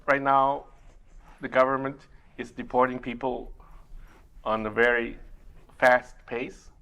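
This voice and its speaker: A middle-aged man whose first language is Mandarin Chinese, working as an immigration attorney and answering interview questions in a measured way, with many pauses to think. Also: medium pitch, flat delivery, vocal fry, pushed and bright, clear, resonant tone, highs cut off. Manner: measured, explains step by step with frequent uh pauses. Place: community TV studio.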